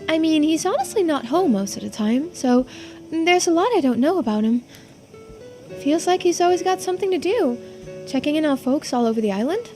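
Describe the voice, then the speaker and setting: Woman voicing an earnest, high-voiced bookish youngster. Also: lively intonation, a little fast, mellow. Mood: apologetic. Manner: apologetic, eager to help. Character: earnest, self-deprecating, bookish young friend. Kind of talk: video game character voice-over. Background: music.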